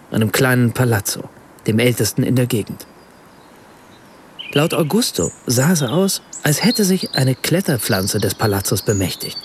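A male actor plays an observant writer narrating a radio drama. His delivery is measured, articulate and reflective. Clear background noise with birdsong surrounds the voice. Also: mid-range voice, close to an everyday voice. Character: observant, literary male writer recounting another man's tale. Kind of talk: literary radio play narration.

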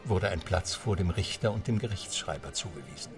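Deep, creaky male narrator with music. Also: expressive, a little fast. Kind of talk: scripted radio play narration.

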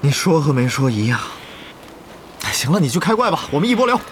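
A man's voice: on the high side, fast talker, slight background noise. A man playing a practical young guild leader in a gaming audio drama, earnest and easily exasperated. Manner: earnest, flustered, expressive. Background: faint music.

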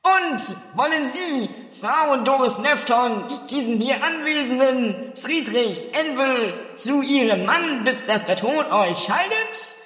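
Very high, ceremonious registrar. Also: male voice, expressive, sharp tone, heavily acted. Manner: halting, ceremonious, stumbling. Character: bumbling, officious wedding registrar. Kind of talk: comedy radio play acting. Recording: echoey hall, long reverb.

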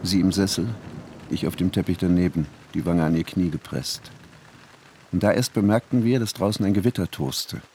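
Actor as anxious husband, noisy radio play. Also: male voice, deep voice, dark tone, natural voice. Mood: relieved, subdued. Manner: introspective, switches from measured narration to agitated pleading. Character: anxious married man, a scientist, narrating his own story. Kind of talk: radio drama narration and dialogue.

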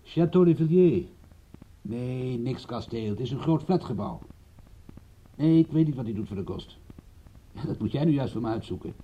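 An actor in a radio drama playing a wry, stubborn private detective with an offhand way of talking. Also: male voice, mid-range voice, lively intonation, moderate pace, long pauses, dark tone, slight background noise, lightly acted. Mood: brisk, instructing. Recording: muffled, dull old radio recording.

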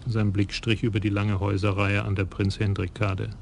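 A man narrates a radio crime story with a gravelly, low voice that hardly moves in pitch. The delivery is measured and even, with a faint hiss behind it.

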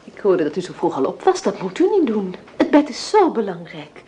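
An actress playing a vain, flighty, bossy young society wife in a Dutch radio play, with airy, imperious theatrical delivery. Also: female voice, mid-range voice, huge pitch swings, brisk, dark tone. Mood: brisk, self-important. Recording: noisy old radio play recording.

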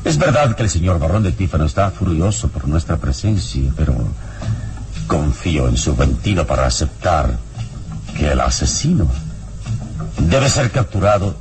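A man playing a serene, wise hero in a radio serial, speaking in a measured, solemn, calming way. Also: a bass voice, music in the background, heavily acted. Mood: calm, reassuring. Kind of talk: old radio serial acting.

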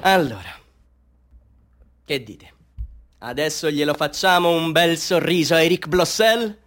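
A man playing a boastful small-time Bolivian street photographer in a radio drama, pitching to tourists in a pompous, confiding, salesman-like way. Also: expressive, a little slow, fairly bright tone. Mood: animated, wry. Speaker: actor in a radio drama monologue. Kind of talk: theatrical radio monologue addressed to tourists.

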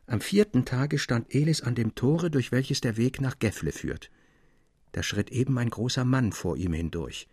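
A man narrating a literary tale in a measured, expressive and articulate dramatic audiobook style. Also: mid-range voice, slightly rough, dry recording, clean studio sound.

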